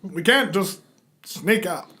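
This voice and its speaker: Guy doing awkward fed-up comic book dad, whiny n high voice, really animated. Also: slow pace, full character voice. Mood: exasperated. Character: put-upon suburban villain-organisation dad, exasperated and awkward. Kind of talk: amateur comic dramatic reading.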